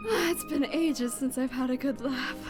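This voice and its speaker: Woman acting a very high-voiced teasing princess. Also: over music. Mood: giddy, apologetic. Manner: teasing, drawn-out delivery. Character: royal young wife, composed but teasing and haughty. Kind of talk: dramatic comic-dub voice acting.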